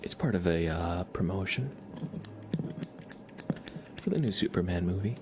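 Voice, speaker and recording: A man livestreaming ASMR, soft-spoken and playful, slipping between a murmur and a whisper as he chats and roleplays. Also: deep voice, animated, a little slow, background noise. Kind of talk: ASMR live chat with roleplay, partly whispered. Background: scissor sounds.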